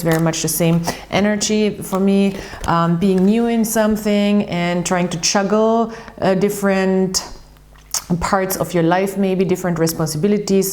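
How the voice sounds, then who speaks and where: A woman with a fairly low voice hosts a casual tarot reading in English. She talks in a chatty, earnest way and often restarts sentences. She repeats words for emphasis. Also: a little mellow. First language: German. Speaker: tarot reader and channel host. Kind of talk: casual tarot reading while shuffling cards.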